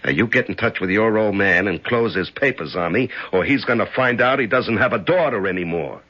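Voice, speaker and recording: An actor playing a ruthless, menacing American gangster who taunts in slang and Italian endearments, in a 1970s radio drama. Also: male voice, lowish voice, lively intonation, fairly quick, pushed and bright, slight background noise. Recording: dull 1970s radio recording.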